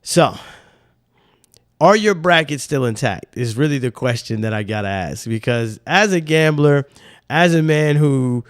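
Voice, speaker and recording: A man's voice: medium pitch, a little slow, dry recording, clean studio sound. A young man with a slightly nasal voice, hosting a sports podcast in loose, rambling casual talk. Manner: loose, animated, rambling with slang.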